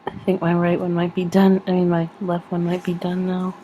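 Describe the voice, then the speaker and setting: A woman's voice: fairly low pitch, completely flat delivery, a little fast, mellow, muffled recording, slight background noise. A young woman vlogging at home, rambling casually with a giggle, sniffly from sneezing. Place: at home in front of a screen.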